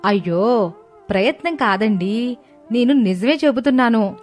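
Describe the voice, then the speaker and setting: A woman's voice: on the high side, lively intonation, unhurried, darkish tone, soft background music. A woman voicing a village wife who speaks her mind to her husband. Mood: earnest. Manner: scolding, exasperated. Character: sharp-tongued village wife, adult woman.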